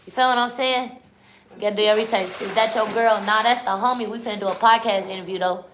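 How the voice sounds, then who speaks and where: A woman's voice: on the high side, steady tone, quick pace, fairly bright tone, roomy sound, slight background noise. A young woman from Chicago in her twenties, hosting a live stream in loose, slangy casual chat that keeps turning to the chat. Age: 20s. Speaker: livestream host.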